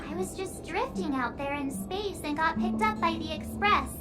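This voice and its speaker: A woman voicing a bubbly, excitable, friendly teenage girl in a video game cutscene, chatty and expressive. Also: very high-pitched, normal speed, over loud music. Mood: chatty, reminiscing. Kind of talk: animated game cutscene dialogue.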